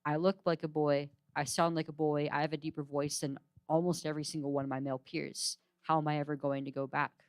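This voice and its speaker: A young woman speaking as a panelist, giving halting, earnest personal testimony. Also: deep voice, little pitch movement, smooth, no echo.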